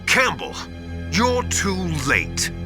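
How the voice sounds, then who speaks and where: A man's voice: high voice, wildly swooping pitch, drawn-out words, music in the background, heavily acted. A man playing a smug, sinister terrorist leader in a video game, mocking and theatrical, drawing out his words. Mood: menacing. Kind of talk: dramatic video game voice acting.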